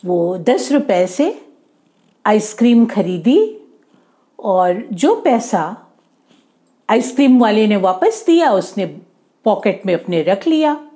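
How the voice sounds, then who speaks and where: A woman's voice: mid-range voice, mellow. A woman telling a moral story to children, often rephrasing herself and mixing in English words. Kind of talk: oral moral storytelling for kids.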